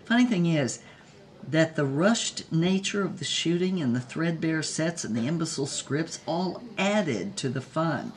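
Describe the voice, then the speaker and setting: A woman's voice: sits low, expressive, a little slow, slight background noise. An actress playing an irreverent, wise former Hollywood starlet in her nineties, with a wry, salty, theatrical delivery in an audio drama. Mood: wry nostalgia. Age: 90s, as played. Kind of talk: audio drama monologue, reflective storytelling.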